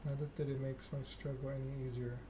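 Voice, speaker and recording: A man's voice: mid-range voice, flat delivery, brisk, background noise. A man doing an ASMR read-aloud of a narrative game, occasionally stumbling over the written text. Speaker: gaming channel host.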